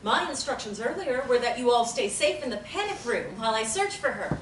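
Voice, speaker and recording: Actress reading formal cool therapist, mid pitch, distant and roomy, steady hiss. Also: female voice. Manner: formal, measured, avoids contractions. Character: composed, evasive, faintly sinister woman therapist. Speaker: table-read actor. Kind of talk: script table reading.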